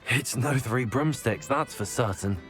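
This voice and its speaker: An actor playing a level-headed young student in a video game, polite and composed with a dry, teasing edge. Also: male voice, mid-range voice, measured pace, over loud music, close to an everyday voice. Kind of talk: video game character acting.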